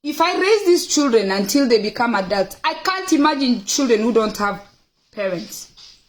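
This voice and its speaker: High-pitched Kenyan woman, emphatic talker. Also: expressive, moderate pace, low-quality recording. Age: middle-aged. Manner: emphatic, repeats phrases for stress. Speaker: host of a personal livestream. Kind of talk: casual, opinionated talk to viewers, reading out questions.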